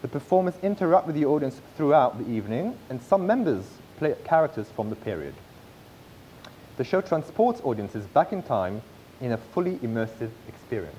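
A man in his thirties presenting a business pitch from a script on stage, with occasional slips and restarts. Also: very animated, moderate pace, dark tone, slight background noise, rough recording. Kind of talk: read-aloud business pitch. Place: large theatre stage.

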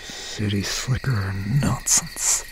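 A man playing an obsessed, menacing country slasher boyfriend in an ASMR roleplay, speaking in a breathy, halting, often whispered voice with folksy phrasing. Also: lowish voice. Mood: cold, possessive. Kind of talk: ASMR roleplay, slow and intimate. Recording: noisy night ambience with crickets, owl. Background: crickets, insects and an owl.

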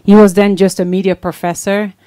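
A middle-aged woman from the Netherlands recalling things in casual conversation as a guest, haltingly, repeating words as she goes. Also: medium pitch, flat delivery, mellow. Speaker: talk show guest.

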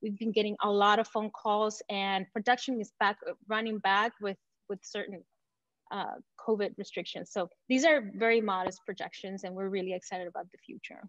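A woman from Venezuela presenting her company in an enthusiastic, confident startup pitch. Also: steady tone, normal speed, fairly bright tone, no echo, highs cut off. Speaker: company founder pitching to investors.

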